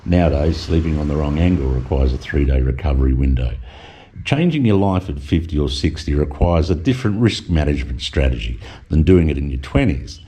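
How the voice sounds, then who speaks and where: A man's voice: rumbling low, lively intonation, a little fast, mellow, slightly rough. A middle-aged man reading a reflective voice-over with wry asides. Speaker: vlogger narrator.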